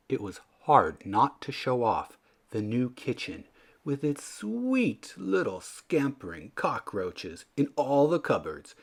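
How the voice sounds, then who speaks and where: Animated male storybook narrator. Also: medium pitch, husky. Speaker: children's story reader. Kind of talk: expressive scripted storybook narration.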